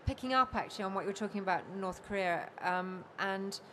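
An older woman chairing a panel discussion, speaking in a measured way with frequent ums at a table microphone. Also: mid-range voice, fairly bright tone, slight background noise. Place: bookfair panel stage with an audience, indoors.

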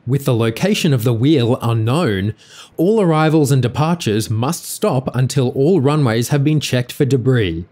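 A man's voice: mid-range voice, animated, moderate pace, darkish tone. A man delivering scripted documentary narration.

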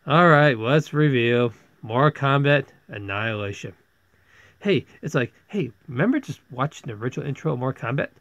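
A man's voice: mid-range voice, expressive, fairly quick, fairly bright tone, dry recording. A man giving a casual, rambling movie review as a video host, exclaiming in exasperation and sometimes stammering over his words. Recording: dull, lo-fi close microphone.

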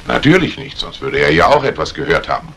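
An actor playing a stern, suspicious police inspector in a 1950s German radio crime drama, probing and sardonic. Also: male voice, a bass voice, big pitch swings, fast, fairly bright tone, full character voice. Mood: accusing. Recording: old radio play recording with faint hiss.

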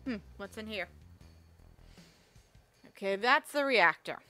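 A woman chats her way through a video game, her voice a little raspy and strained. She talks at a middling pitch with quick asides to herself and the viewers. Faint game music plays behind her. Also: expressive, bright, forceful tone. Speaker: gamer playing a game on video. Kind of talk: casual let's play commentary.

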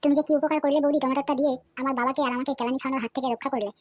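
A woman's voice: high-pitched, fairly even intonation, rapid-fire, no echo, studio quality, close to an everyday voice. A woman voicing a cheeky, foul-mouthed young village man in a cartoon, brash and swearing often. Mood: eager. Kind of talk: cartoon voice acting. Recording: loud background music.

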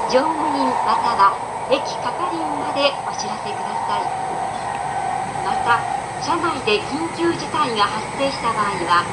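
A woman giving a formal, measured, polite scripted announcement as a train announcer over the cabin loudspeaker. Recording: train cabin PA under loud rail noise. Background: loud train rumble.

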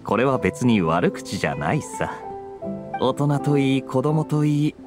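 An actor playing a suave, teasing young knight in a video game, languid and wry. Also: male voice, mid-range voice, very animated, measured pace. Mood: amused, casual. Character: suave, teasing young man, a laid-back adventurer knight. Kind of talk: Japanese video game voice acting. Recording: studio voice over guitar game music.

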